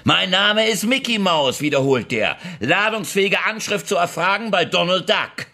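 Sneering, volatile militant, high-pitched and sharp. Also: male voice, expressive, normal speed, heavily acted. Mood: bitter, mocking. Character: angry, injured, defiant leftist militant man, mocking and bitter. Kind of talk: radio drama acting.

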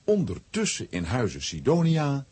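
A man with a rough, husky voice narrating a scripted children's story. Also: medium pitch, huge pitch swings, normal speed, darkish tone.

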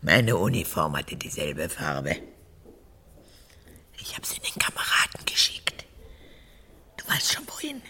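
Vain elderly mother, imperious and petulant, very husky, swinging wildly in pitch. Radio drama. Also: wildly swooping pitch, bright, forceful tone, exaggerated voice. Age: 80s. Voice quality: husky, cracked old voice, often falling to breathy whisper. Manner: theatrical, erratic, halting then snapping. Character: vain old mother, unrepentant former SS officer, capricious. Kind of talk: German radio drama acting.

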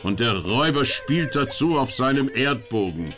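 A man's voice: mid-range voice, sing-song, normal speed, over loud music, lightly acted. A man playing a sly, teasing storyteller who narrates lurid robber tales like a pulp radio serial. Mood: gleefully ominous. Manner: teasing, theatrical.

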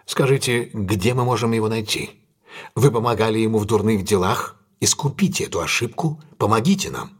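An actor playing a self-assured gentleman detective in an audio drama, decisive, courteous and authoritative. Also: on the low side, expressive, a little fast, slightly rough. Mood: firm. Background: music.

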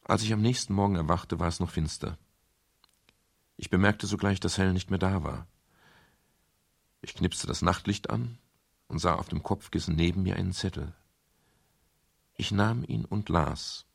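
A restrained, gentlemanly detective in a radio crime play. His middle-aged voice sits very deep and has a gravelly grain, with formal, measured phrasing. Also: heavily acted. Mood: measured, reflective. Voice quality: gravelly, husky. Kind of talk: German radio crime drama narration and dialogue.

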